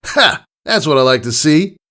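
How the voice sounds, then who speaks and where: A man voicing a brash, cocky, excitable young female pegasus pony in a storybook reading, with boastful, teasing exclamations. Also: a little high, moderate pace, voice pushed up. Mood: playful challenge. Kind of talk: acted storybook character dialogue.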